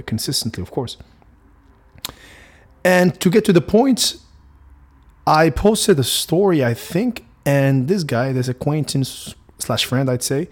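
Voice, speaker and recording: A young man from Morocco with a Moroccan accent, Arabic his first language, talking through a casual solo podcast in a rambling way, full of restarts and fillers. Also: medium pitch. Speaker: podcast host.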